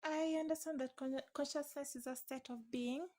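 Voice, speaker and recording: A high-voiced woman speaking English as a second language, her native language being Swahili. She talks haltingly and hunts for words as she explains herself on a call. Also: fairly even intonation, darkish tone. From Swahili-speaking East Africa. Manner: hesitant, searching for words. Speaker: caller. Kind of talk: casual debate call.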